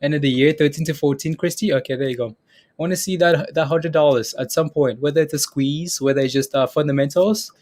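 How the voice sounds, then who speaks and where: A man's voice: fairly high pitch, a little fast, a little mellow. A man hosting a live stock trading stream, chatting casually about price levels and reading viewer names aloud. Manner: chatty, repeats phrases, reads chat names aloud, laughs often. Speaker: stock trading livestream host. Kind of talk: casual live market commentary.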